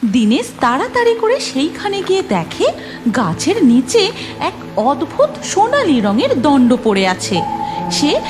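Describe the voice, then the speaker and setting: A woman narrates a cartoon story in a high voice. Her delivery is very lively in pitch and takes its time. Music plays behind her. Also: unhurried.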